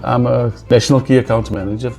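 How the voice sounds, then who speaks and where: A man of fifty-eight giving a testimonial in an earnest, plain-spoken way, halting and repeating words. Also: medium pitch, steady tone, gravelly, a little mellow, soft background music. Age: 50s. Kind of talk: testimonial interview.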